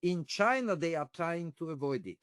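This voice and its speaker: A man answers interview questions in an explanatory way. His voice is fairly high, he speaks slowly, and the sound is dry. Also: expressive, fairly bright tone, no room sound. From Hungary. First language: Hungarian. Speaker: interview guest, former diplomat and academic.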